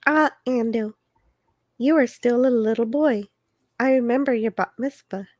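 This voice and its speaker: A woman's voice: fairly high pitch, a little slow, darkish tone, no room sound, studio quality, close to an everyday voice. A woman voicing a mocking, self-assured young woman in a dramatic novel reading. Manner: teasing, dismissive.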